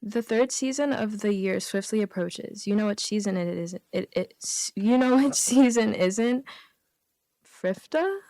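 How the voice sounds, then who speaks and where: A woman's voice: medium pitch, unhurried, dark tone, dry recording. Woman guest reading jokes, close dry sound. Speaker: special guest on a comedy radio show. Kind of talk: reading out written jokes with casual asides.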